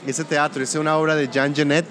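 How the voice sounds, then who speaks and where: A man's voice: on the high side, level tone, brisk, fairly bright tone. Colombian man with a border accent. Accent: Colombian-Venezuelan border. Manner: thoughtful, restarts often. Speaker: music producer and guest. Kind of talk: casual interview conversation.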